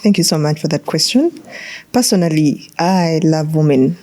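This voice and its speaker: A woman's voice: low-pitched, animated, moderate pace, dark tone, a little hiss or hum. A young woman answering as a student panel guest in an emphatic, declarative way. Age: young adult. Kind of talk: conversational interview answers.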